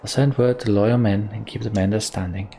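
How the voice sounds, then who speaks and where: Low, creaky-voiced male gamer. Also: fairly even intonation, brisk, dark tone, slight background noise. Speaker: let's play host. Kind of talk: game commentary and reading dialogue aloud.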